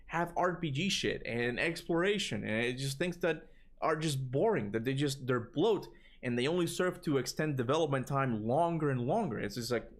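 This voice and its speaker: Man, Cuban accent, casual streamer talk, rambling and lively, mid pitch. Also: normal speed. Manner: rambling, animated, swears casually. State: tired voice from long talking. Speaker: gaming streamer answering chat. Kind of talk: casual livestream chat.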